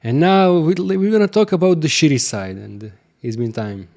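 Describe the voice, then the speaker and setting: Male podcast host, warm dark timbre, casual and filler-heavy. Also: a little high, moderate pace. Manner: loose and informal, many fillers.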